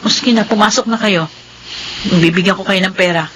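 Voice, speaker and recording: A woman's voice: mid-range voice, normal speed, a bit bright, a little hiss or hum, lightly acted, low-quality recording. A woman acting a patient, self-sacrificing mother in a Tagalog radio drama. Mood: reassuring.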